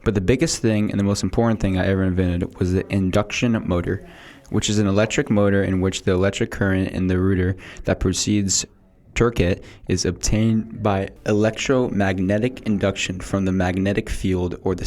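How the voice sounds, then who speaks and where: A man's voice: low voice, steady tone, moderate pace, a little mellow. A man playing a boastful male inventor of the 1800s, reading a prepared script aloud in a school podcast role-play. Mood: matter-of-fact.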